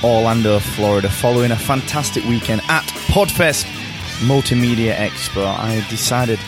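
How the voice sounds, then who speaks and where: A British man hosting his own podcast, talking alone from a hotel room in an upbeat, enthusiastic way. His voice is a little croaky from tiredness, and he often repeats words as he talks. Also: mid-range voice, moderate pace, over music. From Britain. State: slightly croaky voice. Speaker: podcast host. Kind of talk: solo podcast monologue.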